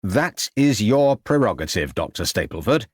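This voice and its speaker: A man's voice: on the low side, big pitch swings, normal speed, no room sound, clean studio sound. A man voicing a polite, dutiful police detective in an audiobook, formal and restrained. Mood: dutiful, unsettled. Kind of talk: audiobook character acting.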